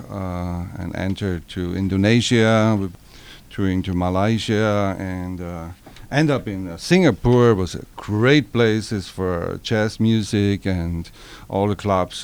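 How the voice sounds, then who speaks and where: A man, a touring jazz musician talking casually in a halting, full of fillers. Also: fairly low pitch, a little mellow, smooth. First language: not English. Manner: halting, filler-heavy non-native English. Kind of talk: casual interview chat.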